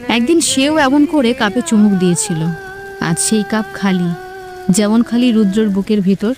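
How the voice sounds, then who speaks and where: A woman's voice: on the high side, normal speed, warm tone. A woman narrator reading a scripted romantic story with sentimental, literary phrasing. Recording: music clearly behind the voice.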